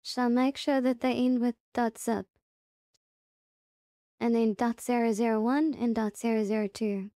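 A woman with a fairly high, soft and mellow voice walks through a software tutorial. She speaks slowly and haltingly, one step at a time, in a casual way. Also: steady tone, no room sound, clean studio sound. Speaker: tutorial host.

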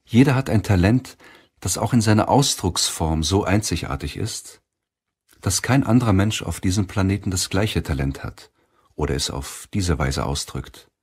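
Male audiobook narrator: low, husky, solemn and measured delivery. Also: expressive, darkish tone.

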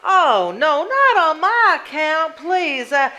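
A woman's voice: sits high, very animated, slow, sharp tone, heavily acted. A woman playing a weary, candid Southern wife in a stage drama, with a Southern US accent. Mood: pleading. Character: weary, relieved Southern wife escaping bad marriage. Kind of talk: live stage acting. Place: theater stage before audience.

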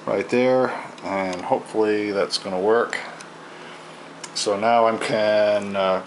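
A man with a low voice talks casually as he works, pausing to think aloud. He is picked up by a handheld camera indoors at a home table, with clear noise behind him. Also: low-quality recording. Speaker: hobbyist radio repairer presenting his own video. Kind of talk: casual hands-on narration while working. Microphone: handheld camera, held near the work.

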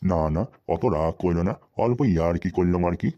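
A wily, tight-fisted cartoon husband played by a man with a low-pitched voice and a rural western Bengal accent. He talks in a folksy, wry way, always a bit henpecked. The voice track sounds dull and lo-fi. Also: brisk, dark tone, close to an everyday voice. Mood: placating. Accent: rural western Bengal Bengali dialect. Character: sly, penny-pinching henpecked husband in a comedy cartoon. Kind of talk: comic cartoon dialogue.

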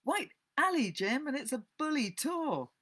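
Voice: high voice, sing-song, unhurried, a bit bright, pitched above the performer's own voice, heavily acted. A storybook reader voicing a cocky, mischievous schoolboy with a coaxing, dismissive manner. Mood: cocky, persuasive.

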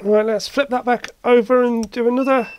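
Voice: mid-range voice, steady tone, normal speed, smooth. A hobbyist presenter giving a casual hands-on repair commentary, thinking aloud while working. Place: indoor workbench.

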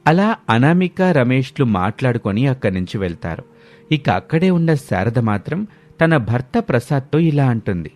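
A man's voice: mid-range voice, big pitch swings, moderate pace, a little mellow. A man with a Telugu accent narrating a scripted moral story.

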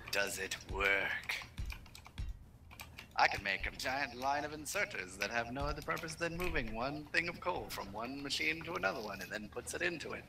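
A man's voice: medium pitch, animated, normal speed, gravelly, background noise. A man chatting casually and teasingly as a co-player during a video game. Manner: teasing, bantering. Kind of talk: casual joking game chat.

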